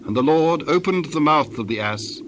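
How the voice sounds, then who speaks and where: A man's voice: fairly high pitch, lively intonation, normal speed, slight background noise. A man narrating scripture from a script for a dramatized reading.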